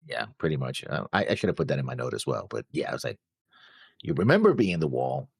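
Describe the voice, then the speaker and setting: Very deep, husky, gravelly man. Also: huge pitch swings, fast talker, dry recording, clean studio sound. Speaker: reaction show co-host. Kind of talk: casual discussion, reading out notes.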